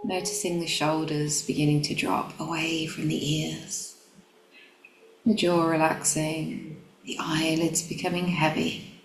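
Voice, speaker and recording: A woman guiding a meditation in a gentle, calm, instructional manner. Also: deep voice, measured pace, background noise. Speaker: meditation guide. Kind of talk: guided meditation. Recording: roomy echo, music behind. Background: background music.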